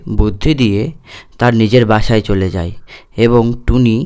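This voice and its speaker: A man's voice: fairly low pitch, fairly even intonation, moderate pace, warm tone, smooth. A man narrating a scripted cartoon fairy tale as the storyteller.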